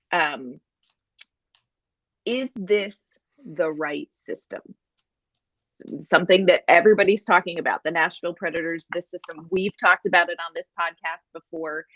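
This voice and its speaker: A woman with a fairly low voice co-hosts a sports podcast, chatting in a lively, conversational way. Her voice is recorded close and dry, with no room sound around it. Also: no echo, poor audio. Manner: chatty and animated, apologetic asides. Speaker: podcast co-host. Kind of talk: casual sports podcast chat.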